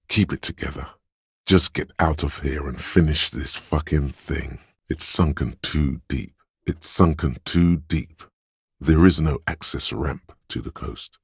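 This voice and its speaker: A man voicing a slick, urging inner voice of agility and style in a video game, smooth, coaxing and theatrical. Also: a bass voice, no echo, clean studio sound, full character voice. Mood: grim, goading. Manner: smooth, theatrical, coaxing second-person address.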